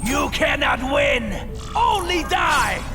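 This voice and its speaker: An actor playing a loud, menacing gang enforcer in video game combat, calling out threats. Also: male voice, very high voice, wildly swooping pitch, takes it slowly, in a large hall, over music, exaggerated voice. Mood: threatening.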